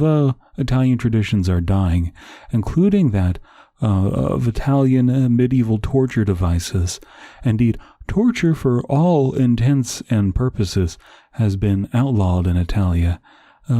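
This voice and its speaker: A man playing an earnest, hesitant host of a parody Italian-heritage podcast, speaking haltingly with many uhs. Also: lowish voice, warm tone, dry recording.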